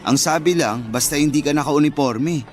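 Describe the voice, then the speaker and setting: A man acting a frustrated young fast-food worker and devoted boyfriend in conversational, protesting radio drama dialogue. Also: fairly high pitch, close to an everyday voice.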